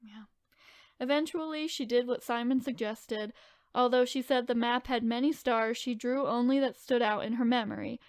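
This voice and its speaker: A woman's voice: fairly high pitch, moderate pace, no room sound, studio quality. A woman co-hosting a podcast, moving between informal chat and reading aloud from notes. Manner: informal, filler-heavy, hedging retelling. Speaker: podcast co-host telling the story.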